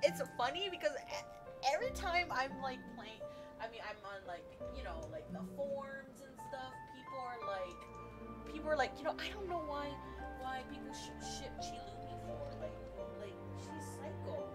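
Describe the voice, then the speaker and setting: A young woman streamer gushes and rambles over a game in excited bursts. Her voice sits high and leaps around, and game music plays clearly under her desk mic. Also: normal speed. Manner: gushing fangirl, rambling, squealing. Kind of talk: excited live game commentary.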